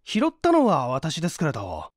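A man's voice: a little high, wildly swooping pitch, moderate pace, dry recording, clean studio sound. A man voicing a nervous, earnest and polite young man in a story reading.

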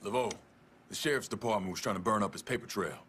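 A tough vigilante veteran as a male actor plays him in a game drama. He speaks very low, terse and dry, with determination, over noticeable background noise. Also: quick pace, natural voice. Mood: stern. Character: tough, laconic vigilante war veteran man. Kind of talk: video game cutscene acting.

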